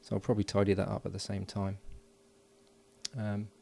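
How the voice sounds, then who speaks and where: A man's voice: lowish voice, completely flat delivery, normal speed, vocal fry, warm tone. A man giving casual, unscripted hands-on commentary as he builds an electronics project, murmuring along while he works. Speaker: hobbyist electronics builder and presenter.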